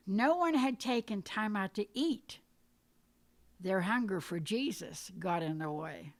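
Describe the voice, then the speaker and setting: A woman reading her own scripted poetic story aloud in a measured, deliberate storytelling manner. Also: medium pitch, animated. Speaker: poet reading own work. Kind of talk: dramatic poetry reading, scripted narration.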